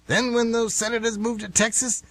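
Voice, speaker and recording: A man's voice: very high voice, flat delivery, moderate pace, fairly bright tone, no room sound. A man playing a stubborn, grumbling retired man of fifty-six in a radio play, folksy and defensive in his talk. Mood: indignant. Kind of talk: amateur radio theatre acting.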